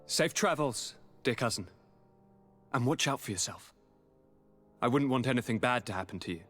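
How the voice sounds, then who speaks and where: An actor playing an affable, courtly young aristocrat governor in a video game, with an airy, theatrical manner. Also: male voice, medium pitch, lively intonation, normal speed, fairly bright tone, faint music behind. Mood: affable, fond. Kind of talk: video game cutscene dialogue.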